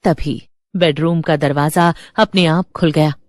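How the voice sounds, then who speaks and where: A woman's voice: sits low, steady tone, unhurried, darkish tone, no echo, clean studio sound. A woman narrator reading a scripted horror story in a dramatic storytelling style.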